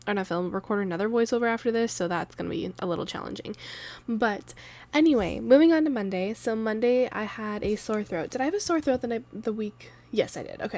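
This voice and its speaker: A woman in her thirties with a raspy voice from a cold, chatting loosely and laughing in a planner voiceover. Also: medium pitch. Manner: rambling, chatty, laughs often. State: sore throat from a cold. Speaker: planner vlogger. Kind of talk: casual voiceover chat. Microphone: voiceover mic.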